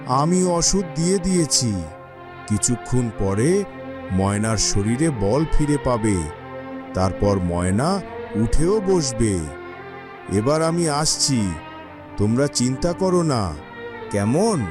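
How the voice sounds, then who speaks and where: A man playing a village herbal healer in a fairytale cartoon, with a reassuring, measured manner. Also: mid-range voice, sing-song, music in the background.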